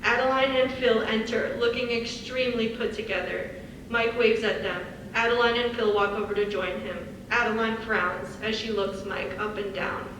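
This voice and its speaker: A woman's voice: on the high side, steady tone, moderate pace. A woman reading stage directions as scripted narration. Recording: echoey hall, far from mic, faint noise.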